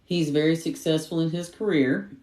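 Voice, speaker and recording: A woman's voice: sits low, normal speed, a little mellow. Woman, blunt, informal storyteller, casual co-host, roomy echo, set back from the microphone. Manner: blunt, informal, quotes herself. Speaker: private investigator, co-host. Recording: echoey room, distant from microphone.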